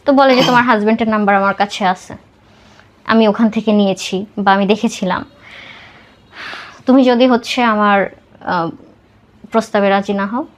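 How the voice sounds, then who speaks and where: A woman's voice: mid-range voice, steady tone, normal speed, long pauses. A woman with a Bangladeshi accent telling a personal life story as a podcast guest, dropping English words into her talk.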